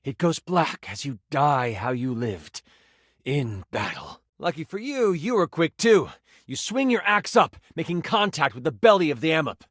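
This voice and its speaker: An actor playing an enthusiastic game master, a personified die who narrates tabletop fantasy with theatrical expression and savored suspense. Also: male voice, on the high side, sing-song, moderate pace, a bit bright, no echo, clean studio sound. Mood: grave, dramatic.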